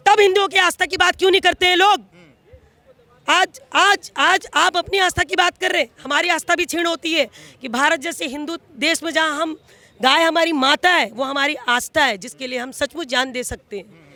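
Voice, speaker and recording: A woman speaks in a very high, piercing voice with a forceful edge. She argues passionately, pressing her points insistently as a member of the public in a heated debate. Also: normal speed, no echo. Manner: impassioned, argumentative, insistent.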